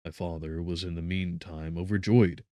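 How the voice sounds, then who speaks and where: A man narrating a literary audiobook in a solemn, theatrical manner, with deliberate, emphatic phrasing. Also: sits low, steady tone, dark tone, no echo, clean studio sound.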